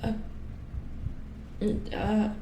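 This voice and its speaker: A woman playing an anxious, secretive robot repair engineer in soft-spoken ASMR horror roleplay, breathy and often whispered, halting and repeating words. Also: reverberant, a little hiss or hum. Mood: uneasy, hesitant. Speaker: ASMR performer.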